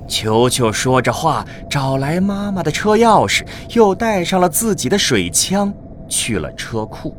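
A man's voice: a little high, big pitch swings, measured pace. A man narrating a scripted radio drama as a storyteller. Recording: clearly noisy with ambient vehicle sound.

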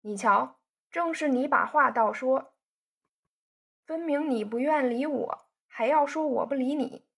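A woman reading a storybook voices a spoiled young rich man who teases his sweetheart with easy banter. The tone sits fairly high with a husky, breathy edge. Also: unhurried, a bit bright, exaggerated voice. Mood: teasing. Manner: bantering, coaxing. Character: teasing young man, playful rich son.

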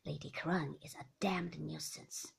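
A brusque gentleman of the house speaks bluntly, with weary dry humor. A woman reads him in an audiobook with a breathy, husky voice. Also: sits low, steady tone, measured pace, pitched below the performer's own voice, heavily acted. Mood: irritated.

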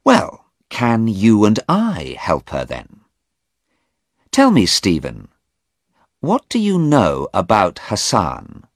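A man's voice: lowish voice, wildly swooping pitch, takes it slowly, no room sound, clean studio sound, voice pushed up, full character voice. A man reading aloud voices a determined woman pressing a young man with urgent questions. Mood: probing. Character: determined middle-aged woman, urgent and firm.

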